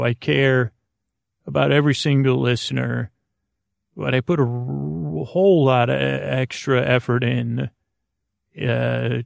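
A man hosting a bedtime podcast in a rambling, improvised monologue, meandering and halting through whimsical digressions in a gravelly voice. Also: medium pitch, no echo, studio quality. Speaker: podcast host.

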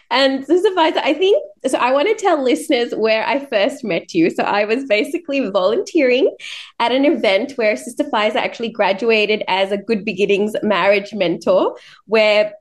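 A woman's voice: on the high side, moderate pace. A woman from Sri Lanka living in Australia, hosting a podcast in a chatty, effusive, conversational way. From born in Sri Lanka, moved to Australia at eighteen. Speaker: podcast host. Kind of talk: conversational interview, anecdotal chat.

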